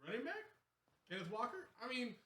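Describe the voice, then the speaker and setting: A man's voice: extremely high pitch, sing-song, a little fast, bright, forceful tone, far from the mic. A man chatting casually about sports as a co-host on a talk show. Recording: roomy, off-mic, faint background noise.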